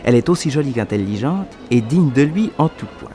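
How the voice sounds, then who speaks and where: A man as an animated, confiding storyteller nephew. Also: mid-range voice, fairly quick, soft background music, natural voice. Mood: confiding, amused. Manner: familiar, confiding, addresses the listener. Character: detective's nephew, lively confiding storyteller of mysteries. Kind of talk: dramatised scripted narration, radio drama.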